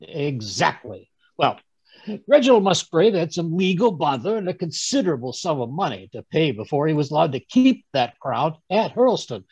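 A man playing a genial, fussy older English gentleman, chattily recounting his adventures in old-time radio drama style, with a husky voice. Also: a little high, sing-song, moderate pace, dry recording, heavily acted. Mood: reminiscing. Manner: chatty, chuckling, rambling storyteller with stammered asides. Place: home room on a group video call. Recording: dull, dry video-call audio.

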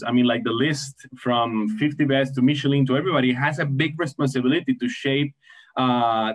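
Man, video call, rambling introductions, lots of "I mean, like", mid pitch. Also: poor audio. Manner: rambling, many fillers like "I mean, like" and "you see". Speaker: event facilitator introducing panel moderators. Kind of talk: online conference introduction. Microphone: video call webcam.

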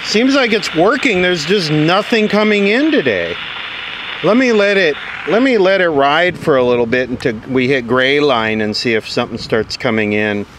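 Guy doing laid back hands-on demo talk, slightly high voice, really noisy wind and static behind him. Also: animated, moderate pace. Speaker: video host. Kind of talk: casual hands-on demonstration commentary. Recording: loud wind and radio static noise. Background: wind noise, hissing radio.

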